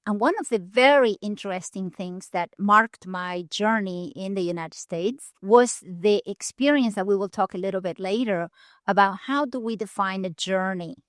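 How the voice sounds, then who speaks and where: A woman from Argentina, a nonprofit leader telling her story in an earnest, measured way with deliberate pauses. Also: medium pitch, no echo, clean studio sound. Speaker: guest, nonprofit CEO. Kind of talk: storytelling interview answers. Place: conference venue.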